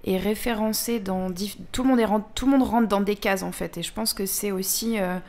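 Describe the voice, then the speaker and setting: Mid-pitched woman explaining on radio. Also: steady tone, brisk, darkish tone. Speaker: guest. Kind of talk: casual radio discussion. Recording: faint background noise.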